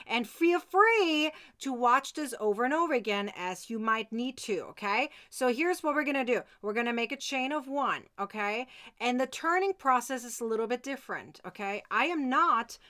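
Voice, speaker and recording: A woman's voice: fairly high pitch, animated, moderate pace, sharp tone. A woman hosting a live step-by-step crochet tutorial, chatty and insistent, often checking that listeners follow. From Germany.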